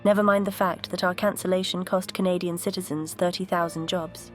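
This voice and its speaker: A woman voicing a composed vintage aircraft character, telling her story in a measured, earnest cartoon dialogue style. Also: on the low side, fairly even intonation, soft background music, close to an everyday voice. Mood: bitter. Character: composed, bitter but grateful female vintage jet museum exhibit.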